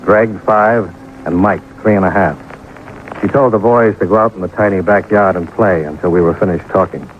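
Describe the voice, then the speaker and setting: An actor playing a businesslike, persistent insurance investigator in a vintage radio detective drama, clipped and matter-of-fact, narrating and questioning. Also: male voice, sits low, normal speed, natural voice. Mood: dry narration. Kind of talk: 1950s radio detective drama acting, first-person narration. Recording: noisy narrow-band old radio recording.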